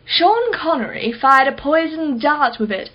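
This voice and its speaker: A high female voice, an actress playing a moneyed, skeptical customer in a radio drama. She is theatrical and sarcastic, relishing long mocking phrases. The recording is a little dull and lo-fi. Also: big pitch swings, a little slow. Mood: playfully sarcastic. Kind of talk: radio play acting.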